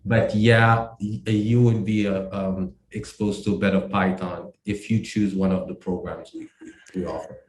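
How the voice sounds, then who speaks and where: Man from Haiti, halting with many fillers. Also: deep voice, little pitch movement, echoey room, low-quality recording. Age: adult. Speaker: guest, company co-founder. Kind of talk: casual interview talk.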